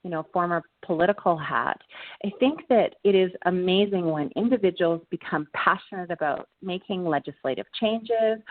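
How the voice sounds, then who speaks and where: A woman's voice: fairly low pitch, no echo, phone-quality sound. A woman, speaking earnestly and reflectively as a guest answering interview questions. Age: 40s.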